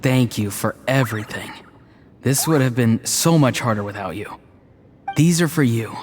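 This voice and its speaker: A man voicing a gentle, earnest apprentice in a video game, speaking sincerely and humbly. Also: medium pitch, normal speed, slight background noise, lightly acted. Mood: warm, hopeful. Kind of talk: English video game voice acting.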